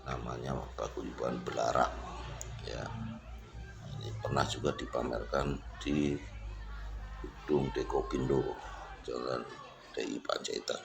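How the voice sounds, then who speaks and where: Very deep, gravelly man. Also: fairly even intonation, a little slow, pauses often. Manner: unscripted, repetitive, pointing things out. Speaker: vlogger giving an exhibition tour. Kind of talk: casual walk-through commentary. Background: crowd at an exhibition.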